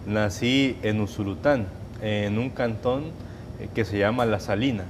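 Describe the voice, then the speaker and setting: Salvadoran man giving measured testimony. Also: on the low side, noisy background. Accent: Salvadoran Spanish. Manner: measured, hesitant, with restarts and fillers. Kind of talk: personal testimony in an interview.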